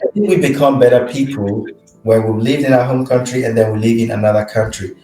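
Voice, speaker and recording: A man hosting a conversational interview, speaking in a calm, reflective way with short backchannels. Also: mid-range voice, fast, soft background music. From Nigeria. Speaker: host. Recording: roomy echo.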